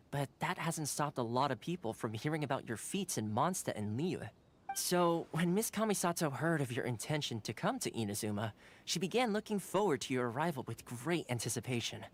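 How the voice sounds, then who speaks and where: An actor playing a friendly, suave young male retainer in a video game, with a polite, playful and formal way of talking. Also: a little high. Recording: game dialogue over background music.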